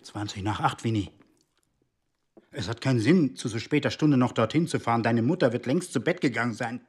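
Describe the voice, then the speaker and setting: An actor playing a middle-aged husband and secret agent in a radio play, husky, reproachful and self-pitying as he defends himself. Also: mid-range voice, brisk, natural voice. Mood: weary reproach. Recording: studio radio play with faint music.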